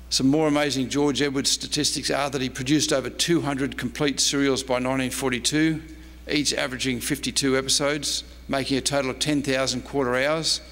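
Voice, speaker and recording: A man's voice: mid-range voice, fairly bright tone. A man, a historian giving a prepared lecture read from notes at a convention. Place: convention presentation room. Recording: noisy, reverberant hall with hum.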